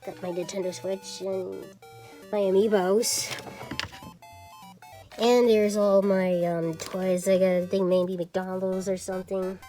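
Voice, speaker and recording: A woman's voice: medium pitch, level tone, takes it slowly, warm tone, soft background music. A woman in her twenties chatting casually as a vlog host, rambling and hesitant as she talks. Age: 20s. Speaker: vlogger and podcast host. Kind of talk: casual shoutout vlog chat.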